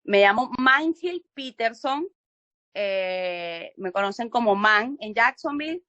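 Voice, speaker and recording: A woman's voice: a little high, animated, takes it slowly, bright, forceful tone, dry recording. A woman from Venezuela with a Venezuelan accent, an interviewee speaking outspokenly and with emphasis. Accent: Venezuelan Spanish. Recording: dry, muffled sound.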